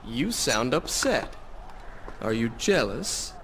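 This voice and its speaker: An actor playing a proud, determined young warrior prince in a video game, earnest and intense with formal diction. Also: male voice, mid-range voice, sing-song, slow pace, crowd noise. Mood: wary. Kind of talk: dramatic video game acting.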